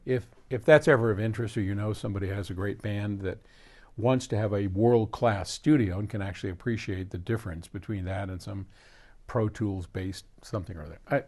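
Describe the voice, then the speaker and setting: An older American man hosting a casual question-and-answer talk, rambling through explanations and anecdotes with frequent digressions. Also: fairly low pitch. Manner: animated, digressive storyteller who quotes conversations. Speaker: host answering audience questions.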